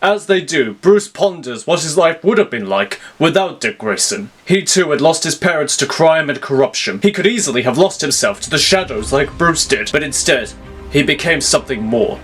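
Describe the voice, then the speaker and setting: A man's voice: a little high, expressive, normal speed, a bit bright, husky, soft background music. A man giving dramatic scripted narration for an audio story.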